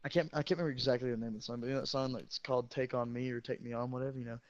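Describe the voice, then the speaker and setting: A teenage man, a high school football player, casually telling crude, joking, rambling stories to a friend. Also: steady tone, rapid-fire, dry recording, muffled recording.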